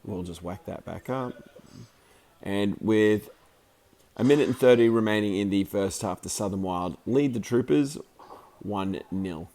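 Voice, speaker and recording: A man just over the flu, his voice croaky, giving chatty, rambling commentary over footage of a hockey game he filmed, with plenty of fillers and restarts. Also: fairly low pitch, measured pace, mellow. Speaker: amateur hockey commentator and video maker.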